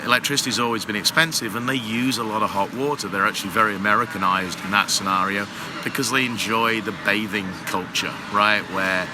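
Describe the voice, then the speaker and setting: A man with a low voice explains things at a loose, wandering pace, full of ums and asides. He speaks as a guest on a busy trade show floor. The background chatter of the hall is clearly audible. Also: steady tone, a little fast. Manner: explanatory, rambling with fillers. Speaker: guest, water heater company manager. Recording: noisy expo floor background.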